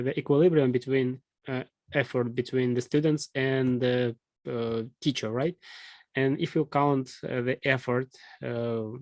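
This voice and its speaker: A man who is not a native English speaker hosts an interview, asking his questions haltingly and filling the gaps with many hesitations. His voice sits at a middling pitch, and the sound is dry, with no room echo. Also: darkish tone. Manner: halting, searches for words with many fillers. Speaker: interviewer host.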